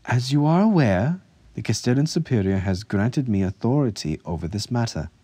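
A man's voice: lowish voice, moderate pace, darkish tone, dry recording, pitched above the performer's own voice, natural voice. A man voicing a firm, earnest female healer with a formal, insistent manner in an audiobook story. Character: earnest, firm healer sister, a woman of authority.

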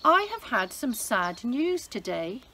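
A woman's voice: a little high, animated, normal speed, a bit bright, slight background noise. A woman voicing a brave young queen who speaks firmly and earnestly in a read-aloud Bible story. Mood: sad. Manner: firm, earnest.

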